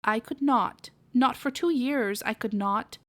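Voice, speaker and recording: A woman's voice: on the high side, unhurried, dry recording, lightly acted. A woman voicing a very fat elderly aunt pig, practical and complaining in an emphatic way, in a storybook. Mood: grumbling, emphatic.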